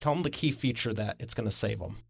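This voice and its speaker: A man's voice: mid-range voice, fast talker, gravelly, slightly rough, dry recording, clean studio sound. A man hosting a podcast interview, asking friendly, encouraging questions. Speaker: podcast host.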